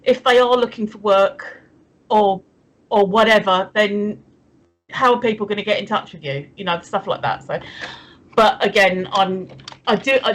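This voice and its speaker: A woman's voice: mid-range voice, low-quality recording. A woman of fifty, co-hosting a casual livestream chat, talking freely and laughing easily while reading viewer comments. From England. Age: 50s. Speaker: livestream co-host.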